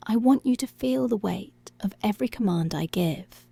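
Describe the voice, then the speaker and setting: A woman playing a dominant, seductive policewoman in an intimate ASMR roleplay, giving slow, deliberate, breathy commands that often drop to a whisper. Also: mid-range voice, warm tone. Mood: commanding, menacing. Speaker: ASMR voice actor.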